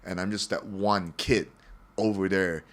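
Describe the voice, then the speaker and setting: A man from Singapore with a slightly low voice hosts a relaxed podcast conversation. His delivery is loose and playful, and he swears casually. His speech is full of fillers and quick restarts. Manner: loose, joking, profane, lots of fillers. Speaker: podcast host.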